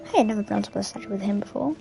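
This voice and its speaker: A woman's voice: mid-range voice, very fast, vocal fry. A woman giving offhand, murmured reactions in casual gameplay commentary. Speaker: gaming video creator. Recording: faint game music behind voice. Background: video game audio.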